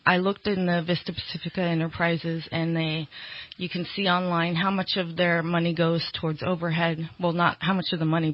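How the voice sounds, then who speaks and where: Female interviewee, political candidate; explanatory, slightly halting delivery, close dry sound, dull lo-fi recording. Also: lowish voice, dry recording. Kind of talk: conversational interview answers. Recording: dull, lo-fi radio interview audio.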